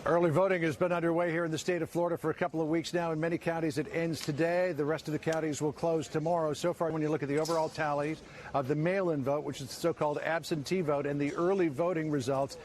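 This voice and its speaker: A man reporting from the field, brisk and informative. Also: a little high, steady tone, darkish tone, slight background noise. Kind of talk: news report.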